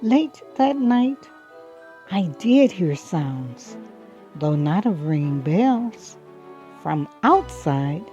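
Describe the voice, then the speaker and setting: A woman reading a children's story aloud expressively, with measured, dramatic phrasing and pauses. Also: medium pitch, warm tone. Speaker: children's book reader.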